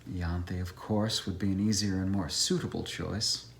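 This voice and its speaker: A man's voice: deep voice, fairly even intonation, moderate pace, gravelly, a little hiss or hum. A man playing a self-satisfied academic bachelor with a musing, urbane manner in a dramatized novel. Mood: wry musing.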